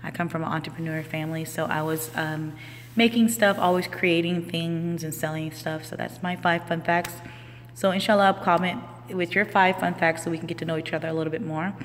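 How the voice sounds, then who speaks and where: A woman's voice: lowish voice, fairly even intonation, a little fast, in a large hall, slight background noise. A woman, a life coach talking casually and loosely on a live stream, with many ums and restarts. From Houston, Texas.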